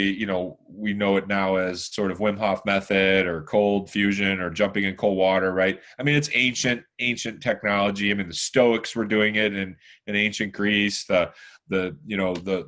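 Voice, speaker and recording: A man in his forties with a deep, hoarse voice, talking at length as a podcast guest, rambling with lots of fillers and restarts. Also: fairly bright tone. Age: 40s. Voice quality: deeper, hoarse voice. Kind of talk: casual chat.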